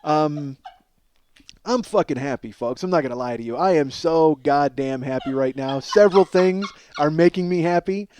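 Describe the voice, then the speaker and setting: A man in his forties with a slightly hoarse voice, hosting a podcast as a casual comedic monologue, rambling, profane and self-deprecating. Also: fairly high pitch, moderate pace, a little mellow, slight background noise. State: slightly hoarse from strained singing. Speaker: podcast host.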